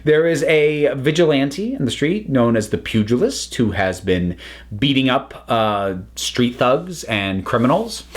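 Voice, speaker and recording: A man with a slightly high voice talks as an online reviewer. His pitch moves around a great deal as he chats. The delivery is unscripted and enthusiastic, drifting into asides as he goes. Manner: chatty, enthusiastic, digressive.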